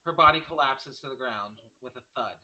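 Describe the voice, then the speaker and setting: A man's voice: medium pitch, normal speed, bright, forceful tone, dull audio. A man reading the stage directions of a horror screenplay in plain scripted narration.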